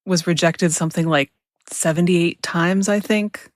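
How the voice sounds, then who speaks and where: A woman's voice: on the low side, steady tone, unhurried, no echo, studio quality. An American woman chatting casually as the host of an interview.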